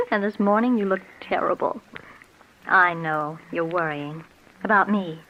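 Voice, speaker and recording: An actress playing a tender, affectionate wife in 1940s radio style, coaxing and gently fretful. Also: female voice, on the low side, measured pace, lightly acted. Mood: tender, concerned. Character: tender, brave, fretful ailing young wife. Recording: muffled, hissy old radio recording.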